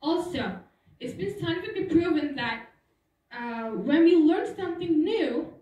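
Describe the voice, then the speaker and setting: High-voiced teenage girl in a hall. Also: lively intonation, a little slow, dark tone, dull audio. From Turkey. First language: Turkish. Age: teens. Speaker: student speaker. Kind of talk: prepared school talk. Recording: echoey hall, voice from far off.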